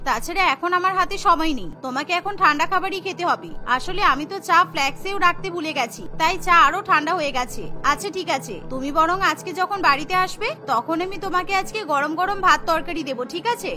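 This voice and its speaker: A woman's voice: very high voice, expressive, fast, few pauses. A woman voicing a stubborn, defensive young housewife who makes excuses, in a cartoon. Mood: unbothered, excusing. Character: young village-born wife, stubborn, excuse-making daughter-in-law. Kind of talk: Bengali cartoon voice acting. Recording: music behind the voice.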